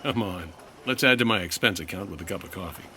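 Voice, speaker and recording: An actor playing a suave, wisecracking 1950s insurance investigator in old-time radio detective style, with a dry manner. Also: male voice, low voice, fast, background noise, lightly acted. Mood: wry, charming.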